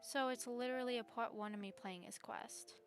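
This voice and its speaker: A woman's voice: fairly high pitch, fairly quick. A woman game streamer giving casual live commentary, often talking to herself, with game music behind her.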